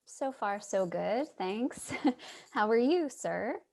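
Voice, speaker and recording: A woman's voice: mid-range voice, animated, slow, vocal fry, a little mellow, full character voice. An actress playing a thoughtful, hesitant, searching woman in a staged play reading over a video call. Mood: curious, hesitant.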